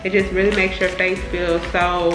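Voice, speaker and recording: A woman's voice: fairly low pitch, level tone, moderate pace, roomy sound, music in the background, rough recording. A young woman chatting casually to her viewers in informal slang, sounding congested from a cold. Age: young adult. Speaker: beauty vlogger. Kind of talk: casual chatty get-ready-with-me talk.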